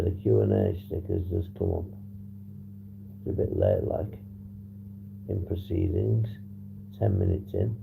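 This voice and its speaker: A man hosting a casual livestream, hoarse and husky, rambling and swearing as he reads the chat. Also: deep voice, highs cut off. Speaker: livestream host. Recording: noisy background hum.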